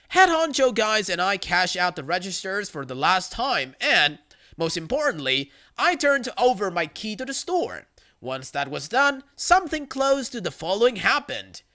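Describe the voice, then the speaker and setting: Male narrator, high-pitched, highly varied intonation, bright forceful tone, creaky edges, scripted online storytelling.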